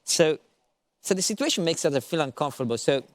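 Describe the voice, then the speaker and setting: A man moderating a panel in English, playful and animated, with halting phrasing. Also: a little high, dry recording. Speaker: moderator, professor.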